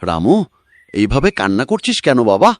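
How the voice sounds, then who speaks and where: Man playing a lively-pitched old village uncle. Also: normal speed, dry recording. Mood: calm, advising. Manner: counselling, instructive. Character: kindly old village uncle giving advice. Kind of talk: Bengali cartoon voice acting.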